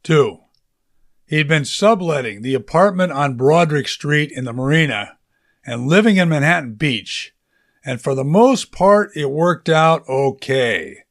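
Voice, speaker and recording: Man narrating fiction in a higher-pitched voice. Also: lively intonation, unhurried. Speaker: audiobook narrator. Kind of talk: scripted fiction narration, conversational storytelling.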